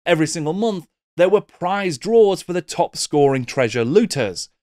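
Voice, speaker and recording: A man's voice: a little high, expressive, moderate pace, darkish tone, dry recording. A middle-aged man presenting a scripted documentary-style video essay, close on a desk microphone. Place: small book-lined home studio.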